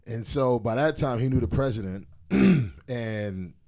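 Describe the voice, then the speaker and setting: A man's voice: mid-range voice, measured pace. A young man, a guest, telling stories in a casual chat. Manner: animated storyteller.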